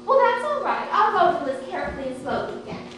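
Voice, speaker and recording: Woman acting a high, honeyed aunt on stage. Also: very animated, quick pace. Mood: coaxing, instructing. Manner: honeyed, theatrical, pleading. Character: genteel, coaxing older Southern aunt drilling her niece in charm. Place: theatre stage, recorded from far off. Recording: distant, echoey stage recording with loud noise.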